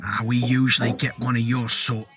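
A man's voice: mid-range voice, little pitch movement, normal speed, fairly bright tone, husky. A man voicing a curt, wary middle-aged pub landlord in a dramatised horror story. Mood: suspicious. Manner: curt, suspicious.

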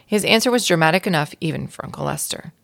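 A woman reads a children's story expressively as a guest narrator. Her voice is on the low side, with plenty of vocal fry. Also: animated, fast, a bit bright.

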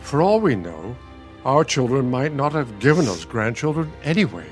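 An actor playing a patient, nostalgic older husband in a radio play, gentle and reflective. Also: male voice, mid-range voice, very animated, normal speed, soft background music, close to an everyday voice. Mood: weary, defensive. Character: patient, nostalgic elderly husband, soothing and wistful.

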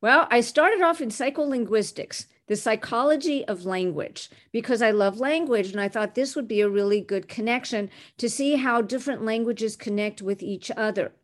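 A woman's voice: a little high, moderate pace, hardly any pauses. A woman in her sixties from Canada, a recruiter, telling her career story in an interview with articulate, self-assured ease. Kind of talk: interview answers.

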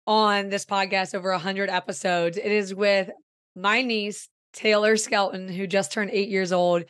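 A woman in her thirties talks in a casual, effusive podcast style, her voice raspy and hoarse because she is losing it. The sound is dry and close, with little room around it. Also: medium pitch, steady tone, measured pace, no room sound. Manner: warm, effusive and upbeat. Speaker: podcast host and growth coach.

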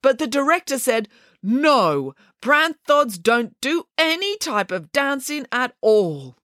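A sensitive young bear who wails and drags out his words in high, trembling tones, voiced by a woman reading aloud. His pitch swings wildly as he complains. The sound is close and dry. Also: sing-song, fairly bright tone, no room sound, full character voice. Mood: dramatic. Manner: weepy, melodramatic, drawn-out. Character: emotional, theatrical young male bear actor.

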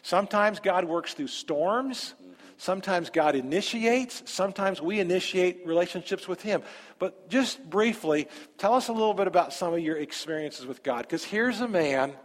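A man's voice: high-pitched, expressive, normal speed, long reverb tail. A man preaching a sermon as pastor, emphatic and repeating his phrases.

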